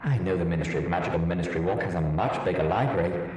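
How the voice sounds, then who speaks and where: A man's voice: sits low, quick pace, darkish tone. Man as refined mare on an echo-drenched old recording. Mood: sly, chatty. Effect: distant reverberant old-recording effect. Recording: very echoey, distant, noisy old recording.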